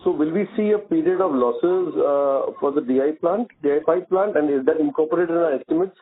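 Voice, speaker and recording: A man asking polite, measured questions as an analyst on a conference call. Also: on the high side. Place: conference call over phone line. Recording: narrow phone-line audio.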